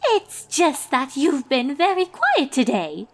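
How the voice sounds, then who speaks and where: A woman's voice: high-pitched, huge pitch swings, slow, a little hiss or hum, voice pushed up, heavily acted. A woman voicing a refined, gossipy young female unicorn from a cartoon, talking in a wordy, theatrical, indignant way. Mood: concerned, prim. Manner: dramatic, prim, indignant, wordy. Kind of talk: cartoon fan-fiction character reading.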